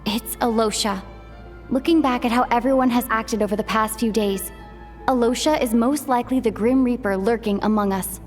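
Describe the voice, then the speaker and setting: Woman as high-voiced, earnest young sleuth. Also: soft background music, close to an everyday voice. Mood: accusing, deliberate. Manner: deliberate, reasoning step by step. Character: earnest young female sleuth, thoughtful and determined. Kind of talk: dramatic game dub acting.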